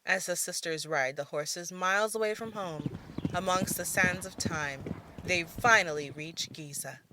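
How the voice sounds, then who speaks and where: A woman's voice: fairly low pitch, bright, forceful tone, a little hiss or hum. A woman narrating a scripted audio drama as a dramatic storyteller.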